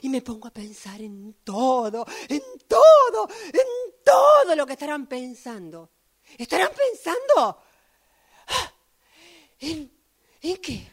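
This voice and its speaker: A woman's voice: sits high, huge pitch swings, takes it slowly, heavily acted. A woman acting a dreamy, wistful train passenger in a radio drama, theatrically echoing her own phrases. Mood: giddy, wondering.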